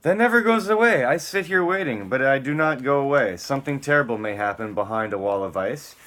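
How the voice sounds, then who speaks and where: A man's voice: medium pitch, animated, a little fast, a bit bright, rough recording. A man giving a dramatic, theatrical spoken-word reading, moving between emphatic lines and muttered casual asides.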